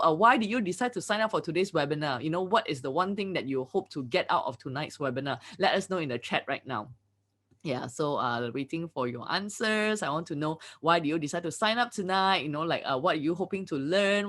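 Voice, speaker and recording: A woman's voice: on the low side, a bit bright. A woman hosting a live online webinar in an upbeat, chatty way, full of "you know, like, uh" fillers, over a video call mic. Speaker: webinar host.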